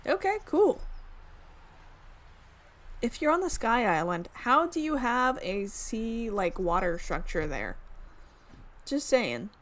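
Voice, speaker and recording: A woman's voice: on the high side, sing-song, slow, pauses often, fairly bright tone. A young woman reading a visual novel aloud and tossing in casual, chatty comments and giggles. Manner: casual, chatty asides and giggles between narration. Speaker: let's play streamer.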